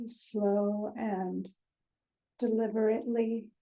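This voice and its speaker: Slow, mellow woman guiding a meditation. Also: medium pitch, level tone, creaky, dull audio. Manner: gentle, unhurried, with long pauses between short phrases. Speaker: guided meditation teacher. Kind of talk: guided meditation.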